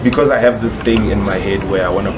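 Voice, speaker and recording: A man's voice: lowish voice, fast, very noisy. A man, a guest artist on a podcast, chatting casually and repeating himself for emphasis with plenty of slang. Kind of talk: casual chat. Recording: music behind the voice.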